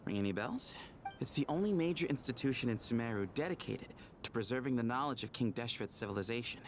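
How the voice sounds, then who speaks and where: An actor playing a confident, knowing scholar in an anime-style video game, casual and teasing. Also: male voice, medium pitch, animated, fast talker, a little hiss or hum, natural voice.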